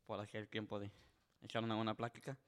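Rambling twenty-something host. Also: male voice, on the low side, little pitch movement, fast. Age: 20s. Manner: rambling storyteller, many filler words, switches between Spanish and English. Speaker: podcast host. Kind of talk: casual bilingual chat.

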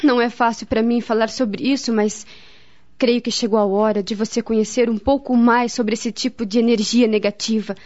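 A woman's voice: fairly high pitch, fairly even intonation, normal speed, a little mellow, close to an everyday voice. An actress playing a grave, devout woman in a radio drama, speaking solemnly and earnestly with a Brazilian accent. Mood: solemn, advising. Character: grave, devout woman friend, counselling and recalling family tragedy. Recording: dull, lo-fi radio drama recording.

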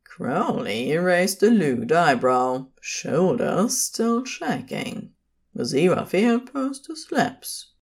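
Casting: female narrator for fiction, slow delivery with lively, varied intonation. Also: fairly low pitch, husky.

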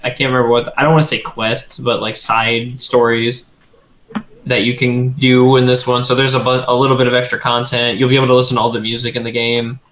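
A man's voice: mid-range voice, monotone, a little fast, fairly bright tone, slight background noise. A man in casual, enthusiastic conversation as a gaming show co-host, with slangy talk and plenty of swearing. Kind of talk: casual chat. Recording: roomy echo.

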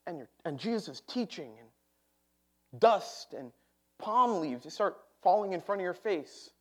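A man delivers a sermon in a church sanctuary. He is animated and humorous, joking with the congregation as he preaches. His voice sits a little higher than most men's. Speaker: pastor.

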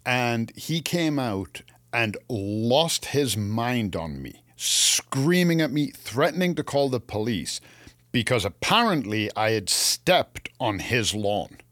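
Canadian guy co-hosting a garden chat, animated storyteller, medium pitch, close dry sound. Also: takes it slowly, no echo. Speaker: co-host of a gardening show. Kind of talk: casual chat with anecdotes.

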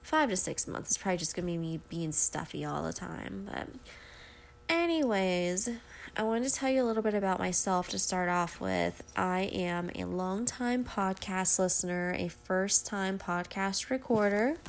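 A woman's voice: medium pitch, lively intonation, moderate pace, vocal fry. A woman in her twenties, nasal and stuffy from a cold, chatting casually and rambling apologetically on her solo podcast from home. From Ohio, USA. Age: 20s. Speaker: podcast host. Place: at home beside her dog.

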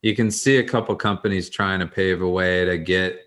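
A man with a somewhat low voice speaks in a halting way, pausing on fillers. He talks casually, working his ideas out as he goes. The delivery is loose and unpolished. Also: fairly even intonation, fairly bright tone. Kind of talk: casual podcast chat.

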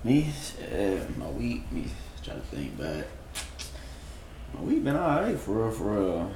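A young man hosts a casual podcast in a relaxed, slangy way and laughs easily. His voice sits fairly low. The room is echoey, with noise behind him. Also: slow. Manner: laid-back slangy banter, laughs often. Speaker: podcast host. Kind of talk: casual podcast chat with friends.

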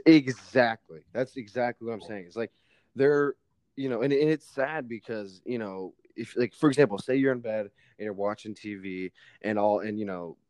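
A man's voice: lowish voice, a little mellow, no echo. Emphatic young man, casual podcast chat. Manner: emphatic, swears casually, heavy fillers. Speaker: podcast guest.

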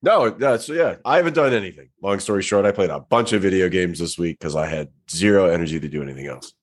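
Hoarse low-voiced man with a cold. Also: fast talker. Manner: rambling, self-mocking. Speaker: podcast co-host. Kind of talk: casual podcast chat.

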